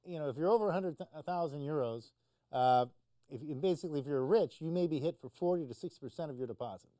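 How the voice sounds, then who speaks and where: A man with a slightly high, very mellow voice comments on the news. He explains things conversationally, feeling his way through informally, and the sound is dry with little room in it. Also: fast talker, no room sound. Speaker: news commentator on a talk show.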